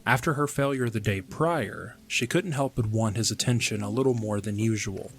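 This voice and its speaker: A man's voice: lowish voice, moderate pace. A man reading scripted third-person narration for a fantasy audio drama.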